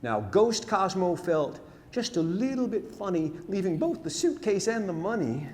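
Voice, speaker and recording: A man's voice: high voice, very animated, moderate pace, a little mellow. A man performing a rhymed narrative ballad as a storyteller, with dramatic, folksy, emphatic delivery in a theatre. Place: on stage in a theatre. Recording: hall reverb, noisy live theatre recording.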